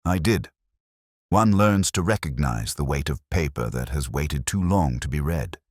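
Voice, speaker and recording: A man reading a scripted first-person literary detective story in a measured, melancholy, reflective manner. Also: a bass voice, animated, no echo, clean studio sound.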